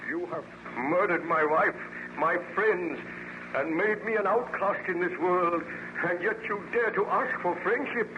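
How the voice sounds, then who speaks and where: Haunted nobleman, formal and intense, slightly high pitch, vintage dull-sounding radio drama. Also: unhurried. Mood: bitter hatred. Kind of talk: 1930s-40s radio serial acting. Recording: noisy muffled old radio recording.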